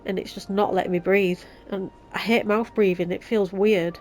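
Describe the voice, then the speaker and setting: A woman's voice: medium pitch, steady tone, moderate pace, a little mellow, slight background noise. A young woman with a Yorkshire accent and a blocked, nasal voice, chatting casually as she plays a video game. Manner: chatty, self-mocking, laughs often. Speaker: let's play host. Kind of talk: casual gameplay commentary.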